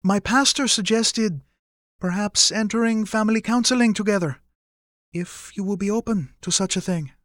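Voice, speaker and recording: Man voicing an anxious, high-pitched middle-aged mother. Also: moderate pace, a bit bright, no room sound, clean studio sound. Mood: hopeful, tentative. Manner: formal, careful phrasing, hesitant. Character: middle-aged mother, anxious, regretful, tearful, gently pleading. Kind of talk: audiobook character dialogue.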